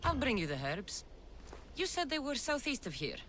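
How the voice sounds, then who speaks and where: A woman's voice: fairly high pitch, very animated, moderate pace, a bit bright, faint music behind. An actress playing a tough, confident female mercenary with a dry, wry manner in a video game cutscene. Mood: businesslike.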